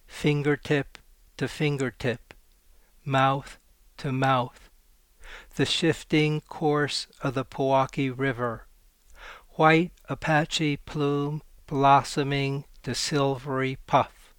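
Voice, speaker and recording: Man reading his poems slow and flat, smooth voice, dry studio sound. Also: mid-range voice, dry recording. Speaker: poet guest. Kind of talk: poetry reading.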